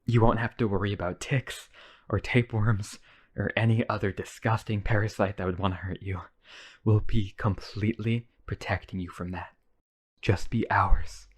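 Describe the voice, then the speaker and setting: Man acting lonely, obsessive low-voiced vampire brother. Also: fairly even intonation, normal speed, husky. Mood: persuasive, possessive. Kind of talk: boyfriend ASMR roleplay audio drama.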